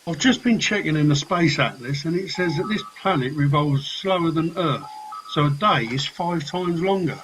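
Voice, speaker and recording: A man plays a crew science adviser in a radio comedy. His voice is slightly high and matter-of-fact, with faint noise in the background. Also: expressive, normal speed, a bit bright, low-quality recording. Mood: informative. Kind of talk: comic radio play acting.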